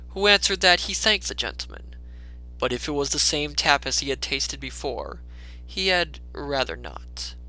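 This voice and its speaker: A man narrating a classic storybook in a theatrical style, with deliberate, dramatic phrasing. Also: mid-range voice, bright, forceful tone, low-quality recording.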